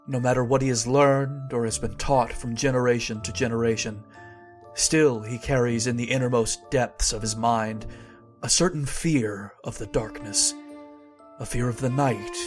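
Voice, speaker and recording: Man acting somber, measured horror-tale narrator. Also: medium pitch, close to an everyday voice. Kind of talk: old-time radio drama narration.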